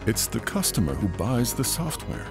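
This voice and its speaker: A man reading scripted documentary narration in a measured, informative way. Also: medium pitch, huge pitch swings. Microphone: studio voice-over. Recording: narration over clear music. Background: music bed.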